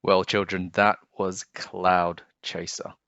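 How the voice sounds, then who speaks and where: A man reading aloud to children as a storyteller, with deliberate, expressive phrasing. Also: deep voice, clear, resonant tone. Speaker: storybook reader, host. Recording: dull, roomy home recording.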